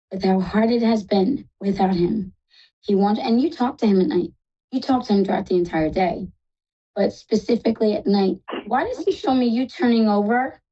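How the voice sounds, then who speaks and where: A woman with a New York accent hosting a live psychic call-in show, talking fast and reassuring callers affectionately. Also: mid-range voice, mellow, dull audio. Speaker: psychic radio host.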